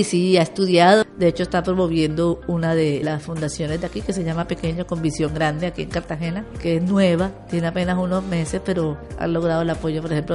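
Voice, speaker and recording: Earnest upbeat woman with a low voice. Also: dark tone. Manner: earnest and upbeat, explains at length. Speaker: interview guest. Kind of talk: conversational interview.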